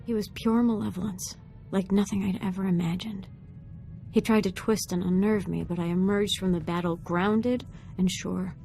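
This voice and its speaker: A young female Sith apprentice from a video game, played by an actress, with a dark, mellow voice at an average pitch. She sounds earnest and troubled, choosing formal, grave words. Quiet music plays underneath. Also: fairly even intonation, normal speed, creaky, lightly acted. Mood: solemn, drained. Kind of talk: dramatic video game voice acting.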